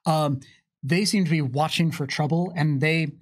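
A man's voice: on the high side, normal speed. A man in his thirties casually narrating a tabletop game demonstration as game master, explaining as he tells the story. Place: indoor studio with curtain backdrop. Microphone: microphone on desk stand.

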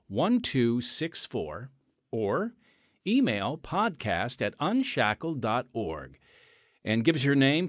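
Male host for ministry radio announcements, animated intonation, dry close studio sound. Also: mid-range voice, no echo. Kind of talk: scripted radio ministry announcements, partly ad-libbed.

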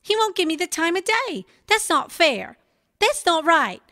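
Squeaky, plaintive young aspiring actress. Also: female voice, very animated, a little slow, bright, forceful tone, dry recording, clean studio sound, exaggerated voice. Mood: whining. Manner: plaintive, gushing.

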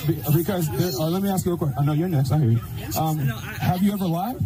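A man's voice: a little high, quick pace, crowd noise. A man preaching on the street, insistent and pressing, often stammering and repeating his words. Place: outdoors on a street. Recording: clip with music playing over it.

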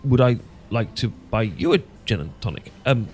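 A man's voice: mid-range voice, lively intonation, normal speed, darkish tone, soft background music, close to an everyday voice. A man with a British accent playing an awkward, sentimental English professor in a romantic ASMR roleplay, stammering and hesitant. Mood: bashful. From Britain. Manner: stammering, hesitant, full of false starts. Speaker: audio roleplay performer.

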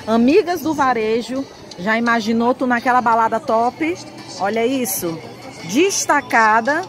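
Woman, Northeastern Brazilian accent, high voice, chatty enthusiastic sales pitch, shopping vlog, music behind. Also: lively intonation, a little slow. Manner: chatty, addresses viewers as friends. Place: indoor market lingerie stall.

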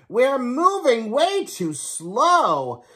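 A man voicing a bossy, impatient tiny ant from a storybook, with shrill, urgent shouted commands. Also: wildly swooping pitch, extremely slow, heavily acted.

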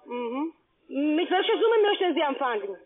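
A non-native German speaker, a woman with a foreign accent, giving a halting phone sales pitch in simple phrases with check-back questions. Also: big pitch swings. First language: not German. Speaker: telephone sales agent for an investment scheme. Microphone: telephone. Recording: tinny, narrow phone line.

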